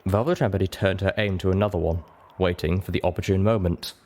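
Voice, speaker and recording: A man reading a first-person adventure story aloud as a dramatic narrator, with expressive and deliberate phrasing. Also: low-pitched. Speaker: audiobook narrator.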